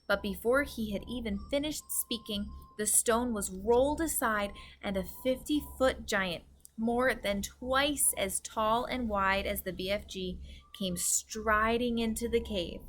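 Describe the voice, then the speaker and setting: Bright-voiced woman reading a children's story. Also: a little high, unhurried. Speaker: read-aloud storyteller. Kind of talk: expressive children's story narration. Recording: faint background noise.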